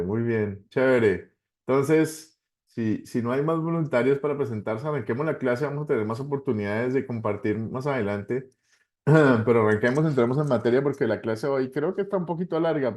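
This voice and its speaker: A man's voice: mid-range voice, a little mellow. A Colombian man, a pastor, casually hosting an online class in an encouraging way with short repeated acknowledgements. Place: online video call.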